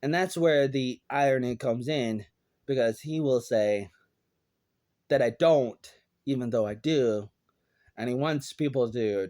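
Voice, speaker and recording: A man's voice: mid-range voice, darkish tone. A man in his thirties with an American accent talks alone in a vlog. His delivery is subdued and rambling, circling back over the same phrases. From United States. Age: 30s. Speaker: vlogger. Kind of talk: rambling solo commentary.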